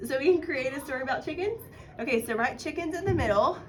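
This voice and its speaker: A woman's voice: high voice, lively intonation, fast, fairly bright tone, a little hiss or hum. A woman teaching a casual one-on-one writing lesson, encouraging and guiding with questions. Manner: encouraging, explains by prompting with questions.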